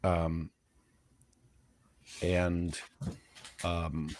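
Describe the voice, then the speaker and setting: Slow, soft-spoken Midwestern man. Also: low-pitched, completely flat delivery, no echo. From Flint, Michigan. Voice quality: soft, easy on the ear. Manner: slow, hesitant, many ums. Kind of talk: casual discussion.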